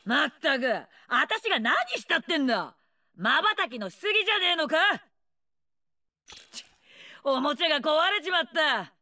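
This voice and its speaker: A bloodthirsty old female warlord for a video game. Her voice is high, bright and forceful, swooping widely as she taunts. She snarls in rough slang, brash, mocking and gleeful in her menace. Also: big pitch swings, normal speed, long pauses, exaggerated voice. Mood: scornful taunting. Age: older. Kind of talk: video game character voice lines.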